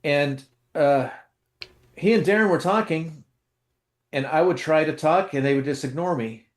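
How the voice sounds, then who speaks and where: A man's voice: on the high side, a little slow, reverberant. A man co-hosting a casual chat, telling rambling, self-deprecating stories with lots of restarts. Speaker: co-host.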